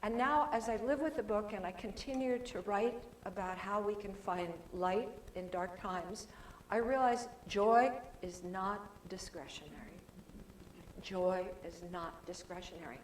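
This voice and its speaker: A soft-spoken woman giving a chatty, informal talk as a guest speaker at a church service, with plenty of restarts. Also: medium pitch, a little slow. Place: church sanctuary. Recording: echoey hall with faint room noise.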